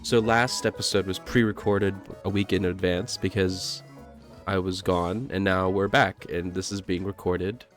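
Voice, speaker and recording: A man's voice: low-pitched, fairly even intonation, a little slow, faint music behind. A young man co-hosting a podcast, chatting casually with a stuffy, congested nose and rambling, self-correcting delivery. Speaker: podcast co-host.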